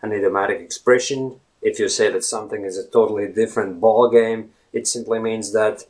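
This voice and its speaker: A man with a Latvian accent and a slightly low voice talks casually straight to the camera. He wanders off the subject as he goes and keeps tagging his points with a questioning "right?". He sounds like someone in his thirties. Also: steady tone, fairly quick, darkish tone. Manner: rambling, keeps asking "right?". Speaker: language blogger.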